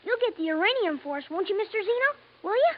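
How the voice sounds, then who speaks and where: A woman voicing an eager, pleading, excitable small Martian child in a vintage radio drama. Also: very high-pitched, fast talker. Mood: urgent, pleading. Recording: dull, faintly noisy old radio recording.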